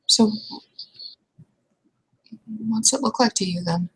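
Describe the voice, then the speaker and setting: A woman's voice: mid-range voice, slightly rough, poor audio. A woman improvising narration for a roleplaying game in an informal, hesitant way.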